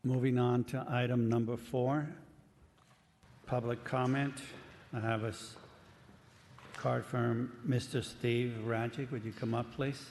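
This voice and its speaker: Man, Cuban accent, meeting chair; monotone, halting delivery; reverberant council chamber. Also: medium pitch, gravelly. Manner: plain, unhurried, halting with fillers. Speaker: planning commission chair. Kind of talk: running a public meeting. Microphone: desk microphone up close. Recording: long hall reverb, faint room noise.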